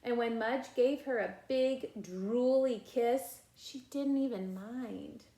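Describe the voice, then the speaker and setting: Echoey, slow-paced woman reading to children. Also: on the high side. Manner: expressive, emphatic, drawn-out reading for young children. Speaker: read-aloud storyteller. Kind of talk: children's picture book read-aloud with casual asides. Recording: echoey room.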